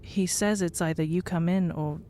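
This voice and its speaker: An actress playing a caring, teasing and gently bossy young wife in an audio drama. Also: female voice, lowish voice, steady tone, normal speed, a little hiss or hum, lightly acted. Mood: concerned. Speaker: voice actor.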